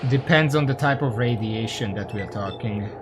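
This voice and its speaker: A man's voice: lowish voice, normal speed, over loud music. A man from Hungary, giving casual, rambling, sweary live commentary as he streams a game and chats with viewers.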